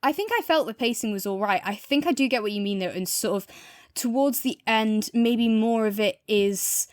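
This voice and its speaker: A woman's voice: fairly high pitch, normal speed, a little mellow, no room sound, studio quality. A woman co-hosting a podcast, chatting casually and reflectively as she gives a review. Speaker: podcast co-host reviewing audio fiction.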